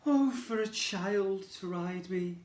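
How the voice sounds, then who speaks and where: A wistful male rocking horse from a picture book, voiced by a man, pitched a touch above a normal speaking voice. He sings out his wishes in a slow, sing-song way. The sound is echoey and roomy. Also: a little mellow.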